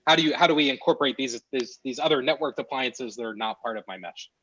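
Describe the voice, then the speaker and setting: Quick-talking host on dull, dry audio. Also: male voice, mid-range voice, husky, dry recording. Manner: relaxed, conversational. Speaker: host, interviewer. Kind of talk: casual interview questions.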